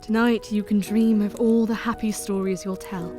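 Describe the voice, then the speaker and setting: Actress as gentle genteel Victorian mother, soft-spoken. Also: medium pitch, level tone, moderate pace, soft background music, natural voice. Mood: tender. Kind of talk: audio drama acting.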